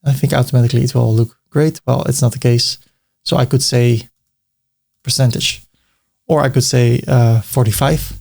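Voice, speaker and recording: A man's voice: medium pitch, steady tone, normal speed, creaky, darkish tone. A man with a Dutch accent, talking through a web design tutorial as he clicks, thinking aloud, repeating words and slipping in dry jokes. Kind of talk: screen-recorded software tutorial.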